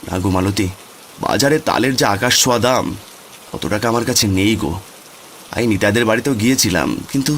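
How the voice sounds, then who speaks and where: A man's voice: lowish voice, fast, long pauses, fairly bright tone, a little hiss or hum. A man voicing a poor, doting village father in a cartoon, humble and coaxing. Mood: dejected.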